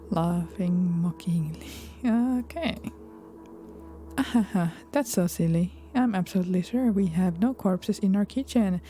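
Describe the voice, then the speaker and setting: A woman records a let's play, mixing casual commentary with reading game text aloud. Her voice sits a little low and has a mellow, dark tone. She speaks softly, often slipping toward a whisper, over faint game music. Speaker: video game streamer.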